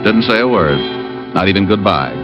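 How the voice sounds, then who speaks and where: A man's voice: low-pitched, animated, moderate pace. An actor playing a wisecracking private eye who narrates his own case with dry, wry asides in old radio drama style. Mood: dry narration. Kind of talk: 1940s-50s radio detective acting and first-person narration. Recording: muffled old radio recording, music behind.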